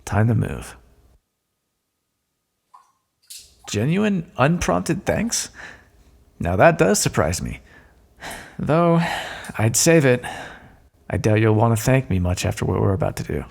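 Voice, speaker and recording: Man acting a wry, gravelly roguish thief. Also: lowish voice, wildly swooping pitch, a little slow, pauses often, full character voice. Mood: wry, urgent. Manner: sardonic, teasing, conversational. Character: roguish male thief escorting a deposed queen, wry but decent. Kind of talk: audio roleplay acting, addressed to the listener.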